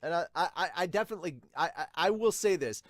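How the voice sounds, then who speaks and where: A man's voice: sits high, lively intonation, fast, fairly bright tone, no echo. A man hosting a podcast in casual review chat, emphatic and given to repeating himself. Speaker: podcast host and manga dubber.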